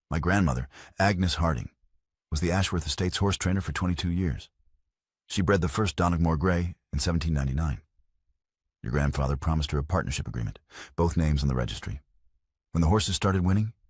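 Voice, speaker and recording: A man voicing a calm, unyielding young horsewoman, very deep. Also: slightly rough, no room sound, studio quality. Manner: quiet, firm, defiant. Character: proud, calm, unyielding 26-year-old woman horse trainer.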